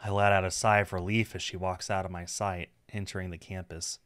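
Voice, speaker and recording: A man with a fairly low voice reads a visual novel aloud on a stream. His delivery is expressive, scripted narration. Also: brisk, dry recording.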